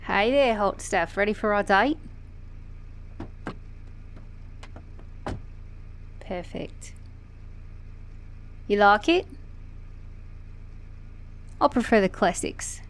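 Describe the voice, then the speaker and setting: A woman acts out a flirty female car mechanic in a romantic ASMR roleplay. Her accent blends Australian and British, and her voice sits at a middle pitch. She teases playfully, with a sassy, affectionate confidence. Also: expressive, takes it slowly, long pauses, clean tone. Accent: Australian-British mix. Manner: flirty, teasing, playful, with soft chuckles.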